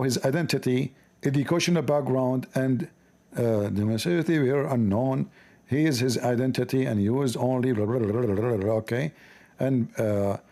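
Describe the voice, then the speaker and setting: A man with an Arabic accent reading aloud haltingly and breaking off for sarcastic, joking commentary. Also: mid-range voice, darkish tone. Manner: halting, stumbling reading of English.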